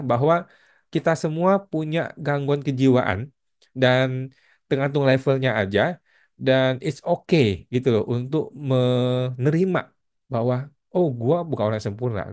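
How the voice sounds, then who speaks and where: A man's voice: mid-range voice, a little mellow. A man in his thirties talking casually to the camera in a vlog, using slang, calling the viewer "bro" and mixing in English phrases. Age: 30s. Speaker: tax channel host.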